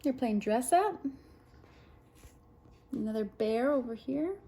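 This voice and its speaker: Lady doing story time for little kids, high lively voice that swings around a lot, expressive. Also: takes it slowly, vocal fry, slight background noise. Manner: expressive, talking to a small child. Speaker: storyteller reading a picture book to children. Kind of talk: read-aloud story time with asides to a young child.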